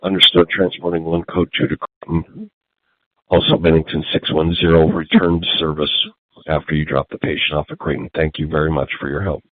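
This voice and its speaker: Male emergency dispatcher, very low voice, procedural read-back delivery, dry narrow-band two-way radio sound. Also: level tone, a little fast, no echo. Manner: procedural, reads messages back. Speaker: emergency medical group dispatcher. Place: command post.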